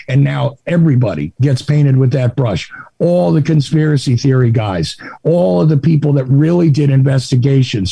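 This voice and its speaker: A man from New Jersey with a Jersey accent speaks in casual, sardonic podcast chat. His voice is raspy and husky, with a gravelly edge and a mellow, dark tone. The sound is dry and close. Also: medium pitch, dry recording. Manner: sardonic, rambling storyteller. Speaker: podcast guest.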